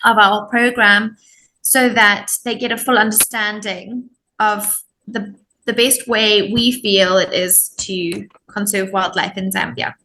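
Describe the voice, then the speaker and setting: A woman's voice: medium pitch, fairly even intonation, slow pace, bright, forceful tone, slight background noise, low-quality recording. A woman from Zimbabwe, explaining her work as a volunteer program coordinator in an interview. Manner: friendly, informative, with many ums.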